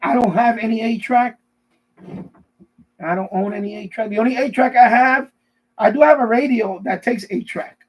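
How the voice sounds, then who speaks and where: A middle-aged man with a notably high voice that has a husky, rough edge. He chats casually as a live stream host, wandering from thought to thought and circling back to repeat himself. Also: moderate pace, pauses often, low-quality recording. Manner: rambling, repeats himself often. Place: basement.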